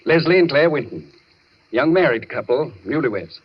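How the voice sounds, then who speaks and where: An actor playing a weary, earnest asylum doctor in a radio drama, speaking with measured authority. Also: male voice, mid-range voice, lively intonation, husky. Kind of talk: vintage radio drama acting. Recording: dull, noisy old radio recording.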